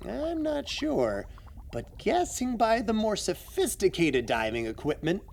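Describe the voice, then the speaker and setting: A man playing an excitable, knowledgeable adventurer and supportive friend in an audio drama. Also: high-pitched, measured pace, gravelly, exaggerated voice. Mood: assured. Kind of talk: scripted audio adventure acting.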